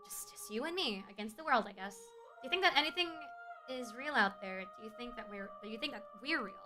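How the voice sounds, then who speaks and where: Woman game streamer: high-pitched and bright, reacting and reading aloud, faint game music underneath. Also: animated, fairly quick. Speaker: livestreamer.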